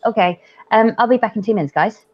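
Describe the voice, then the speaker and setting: A woman's voice: a little high, quick pace, crowd noise. A woman co-hosting a casual podcast chat, who giggles a lot and trails off with fillers. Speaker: podcast co-host. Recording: dull lo-fi audio.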